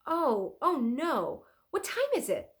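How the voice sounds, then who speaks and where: A woman voicing an excitable, dreamy older male inventor and artist in a children's storybook, dramatic and emphatic. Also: sits high, slow pace, voice pushed up, full character voice. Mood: flustered, urgent. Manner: emphatic, exclaiming. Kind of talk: children's storybook read-aloud.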